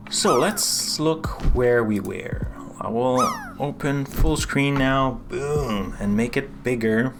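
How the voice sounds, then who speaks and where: A man with a French accent leads a casual live coding stream at an ordinary middle pitch. He rambles and restarts his sentences, filling the gaps with "uh" and "um" as he works. Soft music plays faintly behind him. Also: lively intonation, slow, hardly any pauses. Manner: chatty, rambling, self-interrupting with fillers.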